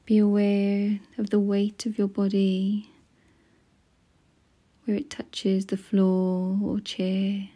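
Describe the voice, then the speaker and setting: A woman guides a meditation in a hushed, breathy voice. Her delivery is slow and soothing, with long pauses between phrases. The pitch stays in a middle range with very little movement, giving an even, gentle flow. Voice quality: soft, breathy, often near a whisper.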